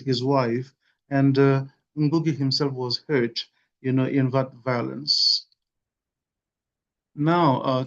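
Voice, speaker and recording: A man giving an academic lecture at a webinar, measured and dotted with "you know" and "uh". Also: medium pitch, muffled recording. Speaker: webinar speaker. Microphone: video call.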